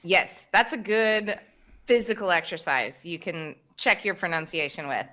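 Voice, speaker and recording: A woman, hosting a podcast and explaining English pronunciation clearly over a video call. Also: lowish voice, unhurried, bright, forceful tone. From Tennessee, United States. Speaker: podcast host and English teacher. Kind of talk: casual teaching chat. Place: home room, indoors. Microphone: video call, webcam.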